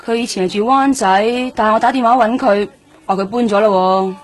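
An actress playing a jaded, streetwise nightlife hostess in a Cantonese radio drama, talking in a blunt, offhand, slangy way. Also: female voice, medium pitch, fairly quick, natural voice. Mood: guarded, offhand. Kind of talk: radio drama acting, colloquial dialogue.

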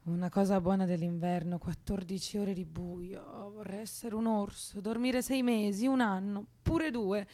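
A woman's voice: mid-range voice, steady tone, moderate pace, hardly any pauses, warm tone, natural voice. An actress playing a sleepless, troubled young woman in a radio drama, terse and contrary in her way of talking. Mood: weary, bleak. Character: anguished, sleepless young woman haunted by heavy thoughts. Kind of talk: Italian radio drama acting.

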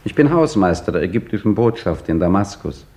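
An actor playing an aging Egyptian embassy caretaker who narrates his own story in a dry, gently teasing manner, in the style of a 1950s radio play. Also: sits low, sing-song, brisk. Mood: wry. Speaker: narrator and main character.

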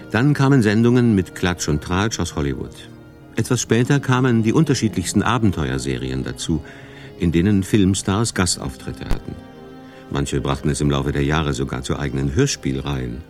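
A man's voice: low voice, a little mellow. A man narrating a scripted radio documentary as its main narrator.

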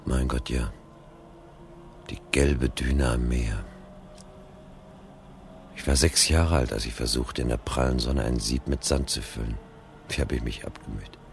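Low gravelly male voice, anguished brooding man, desperate, radio drama, faint noise. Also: unhurried, pauses often, heavily acted. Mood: brooding, despairing. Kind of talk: 1970 German radio drama acting.

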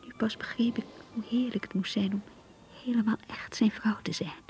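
An actress playing a shy, anxious young woman in love, reading her diary in a radio drama in an intimate, hesitant, breathy voice. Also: a little high, fairly even intonation, normal speed, a little mellow, lightly acted. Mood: tender, yearning. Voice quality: husky, breathy, half-whispered.

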